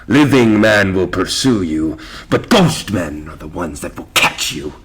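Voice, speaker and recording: An actor playing a haunted old pirate captain in a theatrical drama, gruff, barking demands and brooding in fear. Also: male voice, sits low, lively intonation, unhurried, noisy background. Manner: barks demands, broods fearfully. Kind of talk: theatrical stage pirate acting.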